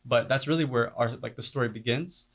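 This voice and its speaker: A man's voice: medium pitch, fairly quick, breathy edge. A man in a casual interview, describing his film crew work and leaning heavily on "like" as a filler. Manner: heavy use of "like" as filler.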